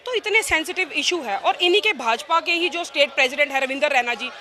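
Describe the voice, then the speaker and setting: Woman, high pitch, bright forceful tone, argumentative opposition politician, press statement. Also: animated, a little fast. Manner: insistent, argumentative. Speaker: opposition municipal councillor.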